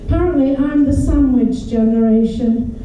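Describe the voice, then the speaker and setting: A woman in her forties with a Manchester accent, performing a stand-up comedy set with dry, deadpan delivery and crude punchlines. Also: high voice, normal speed, a little hiss or hum. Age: 40s. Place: comedy club stage. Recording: echoey club room, distant mic.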